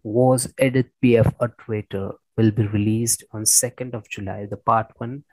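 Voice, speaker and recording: A man's voice: fairly low pitch, steady tone, normal speed, darkish tone, no room sound, low-quality recording. A man hosting a conversational interview, often repeating his words and phrases as he goes. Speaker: podcast host interviewing a guest.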